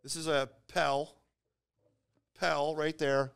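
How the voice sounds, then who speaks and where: Clear-voiced, higher-pitched man telling rambling stories. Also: little pitch movement, fairly bright tone. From Philadelphia, United States. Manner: rambling, halting, repeats words for emphasis. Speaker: comedian and show host. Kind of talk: comedic anecdote storytelling, casual.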